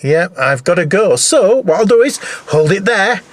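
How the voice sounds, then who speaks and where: A man's voice: sits high, big pitch swings, moderate pace. A man in his fifties talking casually in a personal video diary, rambling and hesitant in a matter-of-fact way. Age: 50s. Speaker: vlogger. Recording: echoey room.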